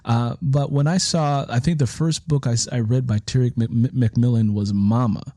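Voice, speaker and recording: A man's voice: medium pitch, fairly even intonation, moderate pace, dark tone, no echo, clean studio sound. A man with an American accent hosting a conversational interview and sharing his own anecdotes. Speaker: interview show host and author.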